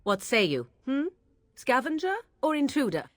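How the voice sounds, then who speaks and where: A woman's voice: high-pitched, big pitch swings, moderate pace. An actress voicing a haughty young witch from the wilds, who speaks in a mocking, formal, old-fashioned way. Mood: aloof. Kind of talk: game voice acting.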